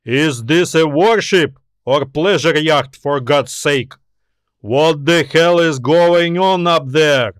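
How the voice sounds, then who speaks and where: A man's voice: fairly high pitch, takes it slowly, no room sound. A man performs a space-fleet admiral for an audiobook, in a dry, close recording. He is confident and practical, speaking with command and a businesslike edge. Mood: angry, commanding. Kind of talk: audiobook character dialogue.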